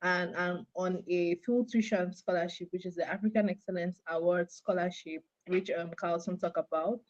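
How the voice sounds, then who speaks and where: A young woman from Cameroon, a graduate student, explaining things clearly and steadily as an interview guest over a call. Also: lowish voice, steady tone, normal speed, highs cut off.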